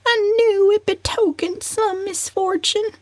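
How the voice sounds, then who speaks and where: A woman voicing a gentle, fussy, superstitious female cousin, soft and prim, in a dramatic storybook reading. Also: very high-pitched, fairly even intonation, measured pace, no room sound, voice pushed up. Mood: sniffy, foreboding.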